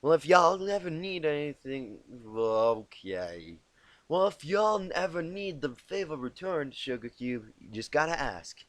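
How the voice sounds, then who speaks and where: Man acting female farm pony, drawl, raised pitch, plainspoken, storybook character. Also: sing-song, a little slow, a bit bright, no echo. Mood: earnest, grateful. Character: folksy, grateful, hardworking female farm pony.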